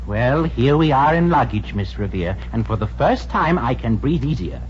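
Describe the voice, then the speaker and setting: Actor as fussy, animated store supervisor. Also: male voice, mid-range voice, moderate pace, soft background music, close to an everyday voice. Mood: wary. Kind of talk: 1950s radio comedy acting. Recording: dull, noisy old radio recording.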